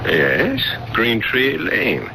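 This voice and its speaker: Puzzled motorist, vintage radio drama, thinking aloud; very swingy lowish delivery over heavy noise. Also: male voice, huge pitch swings, very slow, gravelly, heavily acted. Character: puzzled male driver muttering to himself. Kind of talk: 1970s radio drama acting. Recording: noisy old radio recording, engine sound effects.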